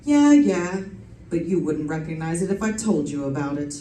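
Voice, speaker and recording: A woman's voice: low voice, big pitch swings, normal speed, dark tone, a little hiss or hum. Echoey, sassy radio detective dame played by a woman. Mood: dismissive. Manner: wisecracking, arch, theatrical delivery. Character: sassy, flirtatious woman private detective narrating her own case. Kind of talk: old-time radio detective drama acting. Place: live stage performance in an echoey room. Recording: echoey room, distant mic, music behind.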